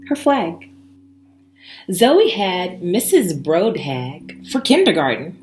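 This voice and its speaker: A woman narrates a children's storybook over background music. Her lowish voice swings widely in pitch, and she reads slowly and emphatically, breaking lines into short, dramatic phrases. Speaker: storybook reader. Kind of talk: expressive children's read-aloud narration.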